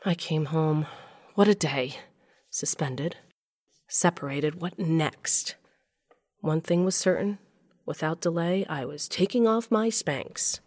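A middle-aged mother tells her own story in an audio drama. Her voice is notably low for a woman. She is wry, bruised and confessional. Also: slow, darkish tone. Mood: weary, wry. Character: wry, shaken middle-aged mother and scientist, just left by husband. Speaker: narrator and lead character. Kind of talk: first-person audio drama narration and dialogue.

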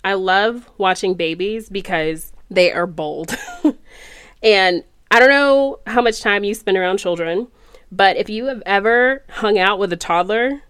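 A woman's voice: mid-range voice, a little slow, fairly bright tone, smooth, no room sound. A woman hosting her own podcast, slightly nasal and congested after being sick, speaking in a candid, reflective and encouraging way. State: congested, recovering from illness. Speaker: podcast host. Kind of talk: solo podcast monologue. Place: closet or living room at home.

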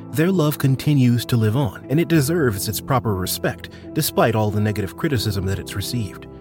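A man announcing a podcast promo in scripted, promotional narration. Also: mid-range voice. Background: music.

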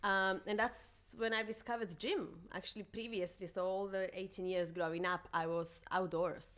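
A woman's voice: medium pitch, fairly even intonation, normal speed, a bit bright. A woman in her thirties from Slovakia, chatting casually as a guest in an interview. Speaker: podcast guest.

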